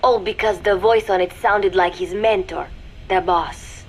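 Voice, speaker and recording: A young female spy, played by an actress, dictates a secret intelligence log for a video game. Her medium-pitched voice is formal, deliberate and coolly watchful, every statement measured and calculating. Clear background noise runs under the recording. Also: steady tone, natural voice, rough recording. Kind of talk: scripted video game voice acting, dramatic monologue.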